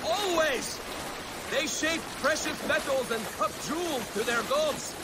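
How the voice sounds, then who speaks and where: An actor playing a brash, bloodthirsty Viking raider in a video game, boastful and combative. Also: male voice, extremely high pitch, measured pace. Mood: eager, boastful. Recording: loud ambient noise behind.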